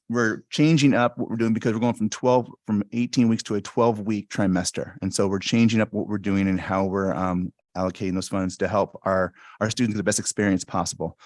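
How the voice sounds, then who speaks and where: Soft-spoken man presenting at a board meeting. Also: on the low side, dry recording, clean studio sound. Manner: soft-spoken, halting with many ums. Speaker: school administrator presenting fees. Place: school board meeting room. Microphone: stand microphone at a meeting table.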